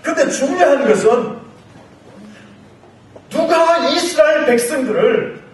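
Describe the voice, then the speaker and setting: A high-pitched male pastor with a cold preaches slowly and fervently, his voice swooping widely and swelling into shouts. He is heard from far off in a very echoey church hall, with faint music behind him. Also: lively intonation. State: has a cold. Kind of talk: Sunday morning sermon. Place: church sanctuary.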